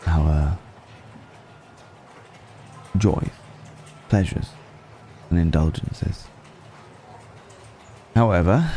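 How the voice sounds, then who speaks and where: A man playing a suave, secretive, courteous vampire noble in an intimate ASMR roleplay, speaking in a gravelly murmur with measured, hesitant pauses and genteel teasing. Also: very deep voice, dark tone, a little hiss or hum, heavily acted. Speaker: audio roleplay performer.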